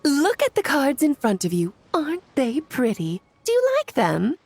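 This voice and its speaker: A woman's voice: high-pitched, wildly swooping pitch, moderate pace, darkish tone, a little hiss or hum, exaggerated voice. A woman voicing a breezy, playful witch auntie in a video game, coaxing sweetly and theatrically as if to a child.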